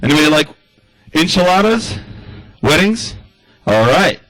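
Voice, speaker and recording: A man riffing through a stand-up monologue, like a radio address, loose and chatty with plenty of asides. His voice sits a little high and swings up and down a lot as he talks. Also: sing-song, unhurried, a bit bright, no room sound, rough recording. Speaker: stand-up comedian. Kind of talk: rambling comic monologue in radio-address style.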